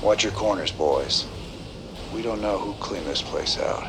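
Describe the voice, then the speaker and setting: An actor playing a weary, wry rebel commander in a video game, dry and plain-spoken with an American accent. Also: male voice, on the low side, a little slow, very noisy. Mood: wary. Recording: music under the voice.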